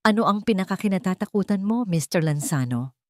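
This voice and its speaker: A Filipino woman voicing a poised, guarded, mysterious hired companion in a romance audio drama, calm, measured and firm. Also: mid-range voice, no room sound, close to an everyday voice. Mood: probing. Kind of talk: romance radio-drama storytelling.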